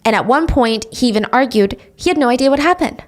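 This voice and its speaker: A woman's voice: high-pitched, lively intonation, quick pace. A woman hosting a true crime podcast, telling the story in casual chat with sarcastic asides and quoted voices. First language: English. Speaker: podcast host.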